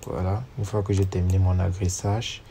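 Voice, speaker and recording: A man's voice: low-pitched, little pitch movement, normal speed, vocal fry, mellow, a little hiss or hum. A man with a cold narrating a step-by-step screen tutorial, reading each command and number aloud as he types. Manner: steps through commands aloud, spelling out numbers. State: has a cold. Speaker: networking instructor. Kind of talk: screen-recorded tutorial walkthrough.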